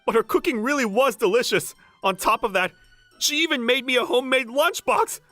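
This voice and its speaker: A woman's voice: a little high, a little fast, no room sound, lightly acted. A woman voicing a flustered, lovestruck young working man who gushes and stammers in a manga dub. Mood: overjoyed. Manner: excitable, stammering, gushing. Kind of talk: manga dub voice acting with narration. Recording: music under the voice.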